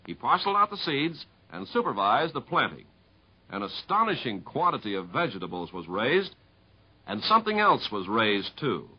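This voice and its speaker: American guy announcing on 50s radio, lively pitch, husky, muffled old recording. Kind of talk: 1950s scripted radio announcing and narration. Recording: muffled vintage radio recording, faint music.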